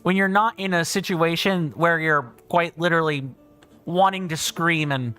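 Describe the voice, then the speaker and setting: A man livestreaming a game, chatting casually and reading out viewer comments, with a big booming voice. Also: fairly even intonation, moderate pace, bright, forceful tone, clear, resonant tone, soft background music. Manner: chatty, thinks aloud, reads viewer comments. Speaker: streamer. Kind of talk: casual gameplay livestream chat.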